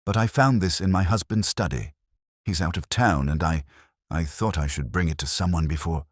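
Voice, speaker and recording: A man's voice: sits low, moderate pace, dry recording, studio quality. A man voicing a frightened, reluctant woman in her late fifties, anxious and hesitant, in a mystery story. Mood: nervous, reluctant.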